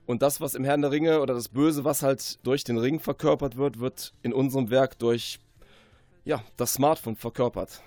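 A man speaking as an author in a radio interview, conversational and reflective, often pausing as he forms his sentences. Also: medium pitch, fairly even intonation, darkish tone, no room sound.

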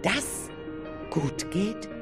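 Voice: medium pitch, very animated, very slow, over music. A narrator telling a scripted radio play in a dramatic storytelling manner, speaking to the listeners. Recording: faint background noise.

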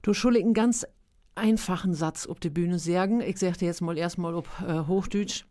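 A woman with an Emsland Low German accent, chatting as a guest in a radio studio interview, laughing easily and filling pauses. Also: mellow. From Emsland, near Papenburg. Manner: chatty, laughs often, many fillers. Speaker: guest, amateur stage actress and singer.

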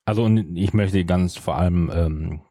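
A middle-aged man chatting casually as a podcast host, animated and feeling his way through his thoughts. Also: sits low, normal speed, no echo, studio quality. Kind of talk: casual chat.

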